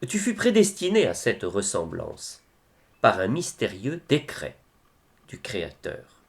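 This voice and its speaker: A man reciting devotional poetry in a solemn style, with measured, expressive phrasing and pauses. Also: on the low side.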